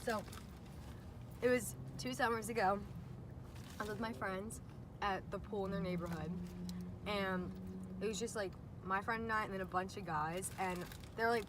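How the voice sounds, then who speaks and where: A young woman telling personal stories in a casual, animated, giggly way while eating inside a parked car. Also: medium pitch, normal speed, long pauses. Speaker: guest vlogger. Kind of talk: casual chat and personal storytelling. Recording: distant mic with vehicle noise.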